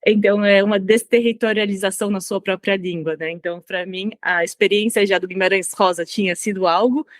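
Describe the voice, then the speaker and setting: A woman's voice: mid-range voice, steady tone, a little fast, hardly any pauses, no room sound, clean studio sound. A Brazilian woman being interviewed as a language consultant, speaking reflectively and often restarting her phrases. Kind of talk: conversational interview.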